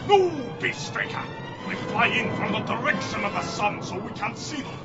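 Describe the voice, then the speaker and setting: High-pitched, boisterous bird-man war leader. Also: big pitch swings, normal speed. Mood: excited alarm. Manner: hearty, booming, theatrical. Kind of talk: pulp audio drama acting. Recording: dull lo-fi audio under loud music.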